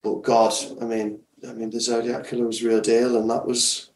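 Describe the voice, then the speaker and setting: A man's voice comes through with a roomy echo, set back from the mic, at an average pitch. He talks casually as an interview guest, with enthusiasm, leaning on words and repeating them for emphasis. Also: monotone, moderate pace, darkish tone, far from the mic, slight background noise. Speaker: guest, audio drama creator.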